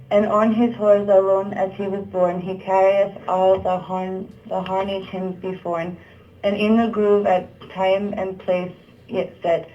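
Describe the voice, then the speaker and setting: A woman narrates Middle English verse for a puppet show. Her delivery is slow and halting, tripping on archaic words. The tone is soft and mellow, and the sound is dull. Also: medium pitch.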